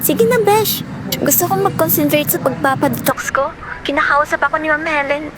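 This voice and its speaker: A woman's voice: sits high, moderate pace, background noise, lightly acted. A woman playing a sassy, frank young best friend in a Filipino audio drama, breezy and chiding.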